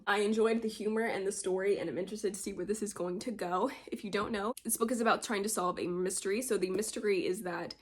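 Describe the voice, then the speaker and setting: A woman vlogger chatting casually about books she read, talking in a rambling way with little laughs while under the weather with a slight cold. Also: medium pitch. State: slight cold, low energy. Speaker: book vlogger. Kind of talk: casual book review chat. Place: home, at a desk with mugs.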